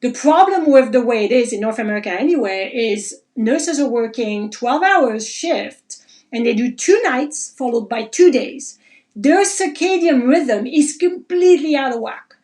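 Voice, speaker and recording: A woman with a fairly high voice teaches a live online class on Chinese medicine. She talks casually and with energy, chatting to her viewers and reading out their comments as she goes. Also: unhurried. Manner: lively, animated, reads viewer comments aloud. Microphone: livestream.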